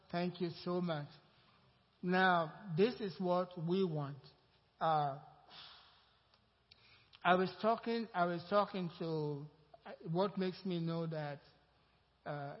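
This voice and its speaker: A man with a Nigerian accent, speaking as a pastor to his congregation in a rambling, conversational way. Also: high-pitched. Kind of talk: informal sermon announcement. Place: church sanctuary. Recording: muffled church hall sound with long reverb.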